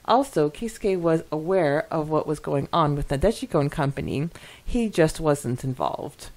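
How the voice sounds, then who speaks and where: A woman podcast co-host reading out listener comments in an expressive, conversational way with casual asides. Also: low-pitched, normal speed.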